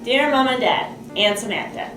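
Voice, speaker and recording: A woman's voice: fairly high pitch, moderate pace. A woman reading a child's letter home aloud, one simple line after another. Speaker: staff member reading aloud. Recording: echoey room, distant mic, faint music. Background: faint music.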